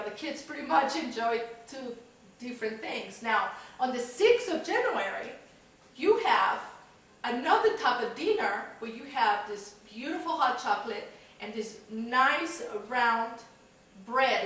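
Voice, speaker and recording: A woman's voice: on the high side, animated, measured pace, fairly bright tone. A woman chatting in an upbeat way to camera as a cooking show host. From Mexico. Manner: upbeat, chatty, gesturing as she talks. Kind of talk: casual chatty presenting to camera. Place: home kitchen, indoors. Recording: very echoey room, distant camera mic.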